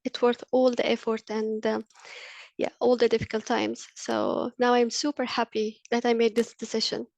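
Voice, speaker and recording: A woman's voice: on the high side, level tone, normal speed, vocal fry, a little mellow, no echo. Earnest woman from Egypt, dry sound. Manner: earnest, with frequent fillers. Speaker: university lecturer. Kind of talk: webinar presentation. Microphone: video call.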